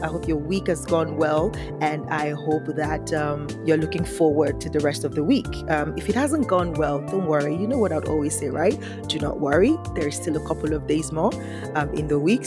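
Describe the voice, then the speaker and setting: A woman hosting a podcast in an upbeat, bubbly way with excited exclamations. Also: low-pitched. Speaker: podcast host. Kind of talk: podcast interview. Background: music.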